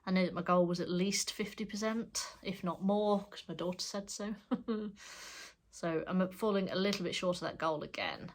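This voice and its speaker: A middle-aged woman with a stuffed-up, congested voice. She talks in a casual, rambling way, often correcting herself mid-sentence, like a relaxed home vlog. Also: on the low side, normal speed. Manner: chatty, rambling, self-correcting. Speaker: cross stitch vlogger. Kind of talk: casual show-and-tell chat.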